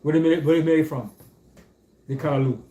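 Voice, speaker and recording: A man leads a relaxed group discussion. His voice sits a little high for a man. He keeps checking in with his listeners, and the room around him echoes. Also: fast talker. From Caribbean. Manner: keeps checking in with "you understand?".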